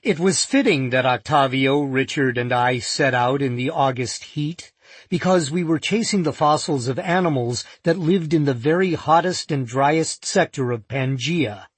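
A man narrating a first-person nonfiction audiobook in a measured, vivid storytelling manner. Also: mid-range voice, slightly rough, dry recording.

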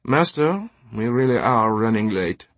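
A man's voice: medium pitch, lively intonation, measured pace, no echo. Man playing a wry, deferential manservant. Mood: urgent deference. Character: dry, dutiful manservant to a wealthy knight.

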